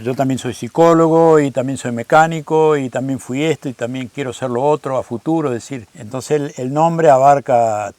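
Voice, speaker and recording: A man's voice: mid-range voice, darkish tone, dry recording. A man in his seventies from Buenos Aires with an Argentine accent, a pastor talking reflectively in an interview, with many fillers and restarts. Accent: Argentine Rioplatense Spanish. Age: 70s. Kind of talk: relaxed conversational storytelling. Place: outdoors on a roadside stop.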